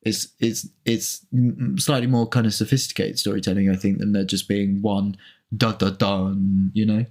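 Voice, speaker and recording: Lowish-voiced man, playful film commentary. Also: little pitch movement, moderate pace. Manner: chatty, playful, wry. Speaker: co-host.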